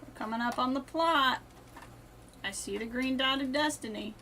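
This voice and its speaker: Higher-voiced woman casually narrating her gameplay. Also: moderate pace, bright, forceful tone, a little hiss or hum. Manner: talks to self in short asides, playful wording. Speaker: live streamer.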